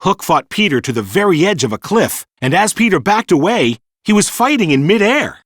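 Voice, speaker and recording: Animated male storybook narrator. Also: high-pitched, moderate pace, dry recording, clean studio sound. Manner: expressive, clearly paced read-aloud storytelling. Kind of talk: scripted children's storybook narration.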